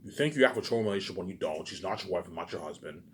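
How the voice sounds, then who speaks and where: Fast-talking man on an agitated rant, low voice. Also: expressive, a little hiss or hum. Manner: repetitive, agitated, profane, stumbling over and repeating words. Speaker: vlogger. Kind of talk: unscripted rambling rant to camera.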